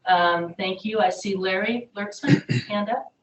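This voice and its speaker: A woman chairing a council meeting briskly calls on the next speaker. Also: mid-range voice, far from the mic. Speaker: meeting chair taking comments. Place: council chamber. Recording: echoey room, voice off-mic.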